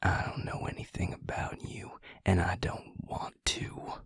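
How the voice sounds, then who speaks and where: A man acting a grim, protective vampire in an audio drama, terse and angry in a gravelly, breathy near-whisper. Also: measured pace, dry recording, studio quality, lightly acted. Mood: seething, impatient. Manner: terse, growling, restrained anger.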